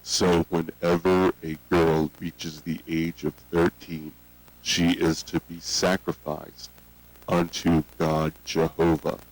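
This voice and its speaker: A man playing a solemn old grandfather and cult patriarch, deliberately sermonizing to a child in a horror story tape. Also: deep voice, little pitch movement, rough recording. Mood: grave, preaching.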